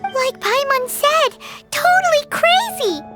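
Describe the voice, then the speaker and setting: A woman voicing a tiny, childlike, excitable and cheeky fairy companion in a video game, with a squeaky voice. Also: slow pace, full character voice. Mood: cheeky, alarmed. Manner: speaks of herself in the third person, exclaiming. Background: prominent playful music.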